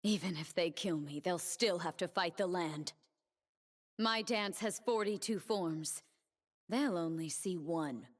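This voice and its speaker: An actress playing a solemn, determined young woman warrior in a video game, speaking in a measured, earnest, resolute way. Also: fairly low pitch. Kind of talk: scripted video game character voice lines.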